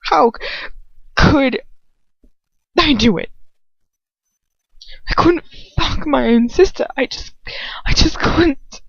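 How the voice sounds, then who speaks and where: A woman voicing a distraught, guilt-ridden young man in an audiobook, with a cracking, wavering, tearful and halting delivery. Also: high-pitched, creaky, a bit bright, no room sound, voice pushed up, full character voice. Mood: tearful despair. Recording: dull lo-fi home recording.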